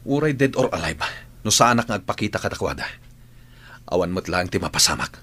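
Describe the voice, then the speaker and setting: An actor with an Ilocano Filipino accent playing a fatalistic, defiant fugitive in a dramatic radio play. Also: male voice, low voice, sing-song, fairly quick, sharp tone, husky, full character voice. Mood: defiant, resigned. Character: fatalistic armed male fugitive, defiant toward his friend. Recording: old AM radio drama, music underneath. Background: dramatic music.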